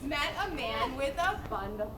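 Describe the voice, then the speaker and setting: A woman narrating a story aloud to young children in an animated way, with drawn-out emphasis. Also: high-pitched. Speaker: narrator of a children's readers theater. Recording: echoey room, distant mic, loud noise.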